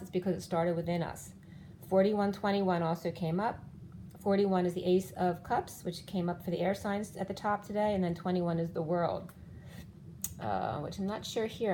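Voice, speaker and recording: A fifty-something woman talks through a tarot spread in a meandering, card-by-card way, her voice slightly low and now and then straining as it starts to give out. A faint hiss of background noise sits under her speech. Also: mellow, low-quality recording. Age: 50s. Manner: rambling, explaining cards one by one. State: losing her voice. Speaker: tarot reader. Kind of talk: spiritual tarot reading monologue.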